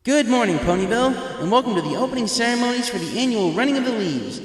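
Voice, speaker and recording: Storybook baby dragon, young male, played by a man, pitched well up, eager enthusiastic sports-announcer delivery. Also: expressive, fast, heavy reverb, big-room sound, rough recording. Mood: upbeat announcing.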